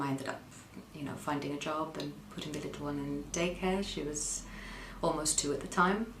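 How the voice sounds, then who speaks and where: A woman from the Netherlands giving a personal account as an interview guest, speaking hesitantly with frequent pauses and ums, recorded in an echoey room. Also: sits low, slight background noise.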